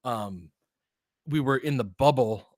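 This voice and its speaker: A man's voice: medium pitch, slow pace, no room sound, clean studio sound. A man giving informal live basketball play-by-play and analysis, conversational and openly partisan, like a fan of the home team.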